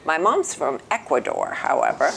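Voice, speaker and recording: An older woman from New Hampshire answering interview questions in an earnest, thoughtful way, often pausing with "um". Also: sits low, wildly swooping pitch, vocal fry, fairly bright tone, a little hiss or hum. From New Hampshire, United States. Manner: thoughtful, earnest, with frequent ums. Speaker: interview guest.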